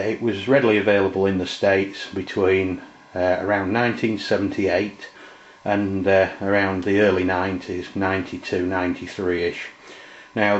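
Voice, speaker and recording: A middle-aged man giving an informal, halting, unscripted product review in a room at home. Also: sits low. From England. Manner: hesitant, halting, unscripted delivery. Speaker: presenter, radio hobbyist. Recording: muffled, roomy camera sound.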